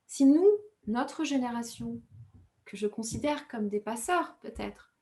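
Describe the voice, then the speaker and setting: A woman from Rwanda whose first language is Kinyarwanda answers interview questions as an author. She speaks in a fairly high voice, reflective and measured, often pausing and correcting herself as she builds her thoughts. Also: darkish tone. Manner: thoughtful, measured, with frequent hesitations and self-corrections.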